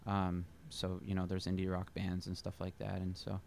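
Relaxed low-voiced male musician guest. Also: vocal fry. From Pennsylvania. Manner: relaxed, halting, many fillers. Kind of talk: casual interview answers.

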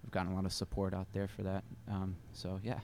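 A man from Pennsylvania, a musician guest, answering interview questions in a relaxed, halting way. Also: sits low. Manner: relaxed, halting, many fillers.

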